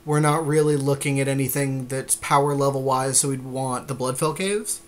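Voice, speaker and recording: A man's voice: medium pitch, fairly even intonation, moderate pace. A man co-hosting a podcast, giving casual card game commentary in an agreeable, colloquial, chatty way. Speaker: podcast co-host.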